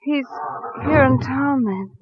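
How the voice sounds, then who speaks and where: A woman's voice: sits high, slow, slightly rough, dry recording, highs cut off, heavily acted. An actress in a 1940s radio drama playing a devoted, anxious housewife who also narrates her own suspense story. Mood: tense, frightened. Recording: old radio recording with music.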